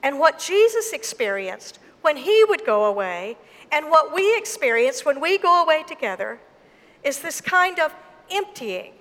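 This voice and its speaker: A woman's voice: sits high, very animated, fairly bright tone. Southern woman pastor preaching. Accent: Southern US, Mississippi. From Mississippi, USA. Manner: storytelling, slow and emphatic. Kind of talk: sermon. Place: church gym. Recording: long hall reverb.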